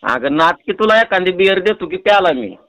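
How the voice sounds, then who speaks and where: High-pitched rural Marathi man, playfully pestering. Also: lively intonation, brisk, a bit bright, no echo. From Tuljapur, Maharashtra, India. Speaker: prank caller. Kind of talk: teasing prank phone call chat. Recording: close, dry phone call recording.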